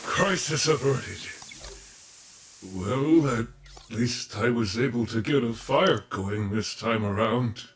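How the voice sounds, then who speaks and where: A man's voice: mid-range voice, slow, slight background noise, natural voice. A man playing a cheerful, clumsy animatronic bear entertainer in an audio roleplay, with an earnest, wordy manner. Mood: sheepish.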